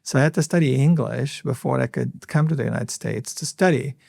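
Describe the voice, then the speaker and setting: Gravelly man in his fifties. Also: mid-range voice, a little mellow, no echo, clean studio sound. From Lebanon. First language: Arabic. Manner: earnest, reflective, many filler pauses. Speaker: hospital CEO, podcast guest. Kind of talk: interview storytelling.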